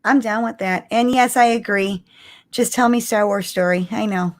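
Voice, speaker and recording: A woman's voice: medium pitch, normal speed. A woman co-hosting a live podcast, chatting casually and emphatically like an eager fan. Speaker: podcast co-host.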